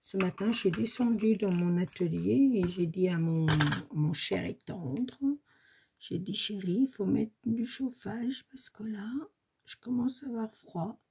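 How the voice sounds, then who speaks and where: A woman's voice: medium pitch, measured pace, creaky, mellow, breathy edge. A woman with a slight cold, chatting casually while she demonstrates a paper craft in her home workshop. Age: 40s to 60s, has a granddaughter. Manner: chatty, thinks aloud, frequent "hop" and "voilà". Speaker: crafts tutorial host. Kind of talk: casual step-by-step craft tutorial.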